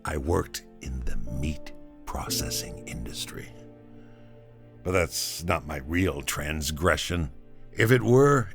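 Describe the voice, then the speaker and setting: A man playing an old former butcher in an audio drama, looking back with salty, rueful defiance in a gravelly voice. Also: low-pitched, exaggerated voice. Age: older. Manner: wry, salty, rambling reminiscence. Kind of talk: dramatized first-person confession.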